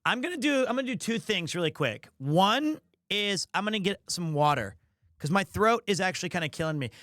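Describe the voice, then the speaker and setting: A man's voice: high-pitched, sing-song, fairly quick, fairly bright tone, no room sound, studio quality. A man hosting a livestream, talking casually and jokingly. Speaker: livestream host. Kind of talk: casual stream chat.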